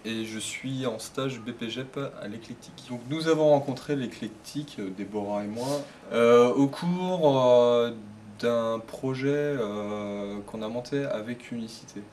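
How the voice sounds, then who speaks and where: A twenty-four-year-old intern introducing himself, halting with fillers. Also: male voice, medium pitch, a little mellow, a little hiss or hum. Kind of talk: testimonial interview.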